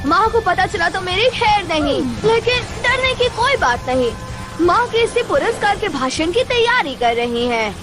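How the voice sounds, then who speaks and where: Cartoon young boy, very high pitch, highly animated delivery, cocky, mischievous, chatty, music in the background. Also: measured pace, exaggerated voice. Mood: cheeky. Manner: boastful, chatty, excitable. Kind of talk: animated film dub, narrating to the viewer.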